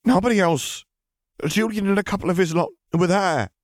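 A man voicing a young witch in an audiobook, sharp-tongued and fearful, with urgent, emphatic delivery. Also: mid-range voice, animated, fairly quick, no echo, studio quality, voice pushed up. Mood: panicked, pleading.

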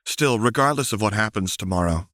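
A man's voice: fairly low pitch, animated, a little fast, pushed and bright, dry recording, studio quality. A man voicing a frustrated teenage alien girl initiate in a fan fiction reading, earnest and pleading.

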